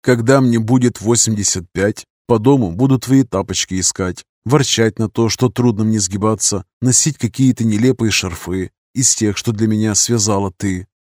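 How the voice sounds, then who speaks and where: A man playing a weathered, stern but loving husband in an audiobook, speaking in a measured, slow way. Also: lowish voice, a little mellow, no echo, clean studio sound, lightly acted. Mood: wistful. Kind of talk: audiobook character reading.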